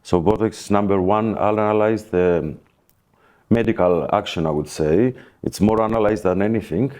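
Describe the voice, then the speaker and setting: A man with a Greek accent explaining things as a guest expert in a podcast interview, in halting, hesitant phrases. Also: lowish voice, a little mellow. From Greece. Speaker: plastic surgeon, guest expert.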